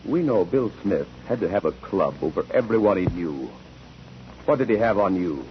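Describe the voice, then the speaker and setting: An American actor playing a sharp, methodical courtroom investigator in a 1940s radio drama, precise and probing. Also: male voice, low-pitched, expressive, moderate pace, soft background music. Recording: dull, noisy 1949 radio recording.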